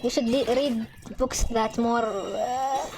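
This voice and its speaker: A young woman with an Arabic accent, a native Arabic speaker, chatting casually over gameplay in halting English full of restarts and fillers. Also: fairly high pitch, steady tone, background noise. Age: young adult. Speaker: gaming channel host.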